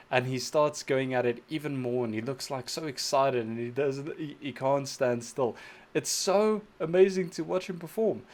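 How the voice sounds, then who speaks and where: Young male reaction host, gushing and enthusiastic, average pitch. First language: Afrikaans. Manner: effusive, enthusiastic, thinks aloud with restarts. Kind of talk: casual reaction commentary.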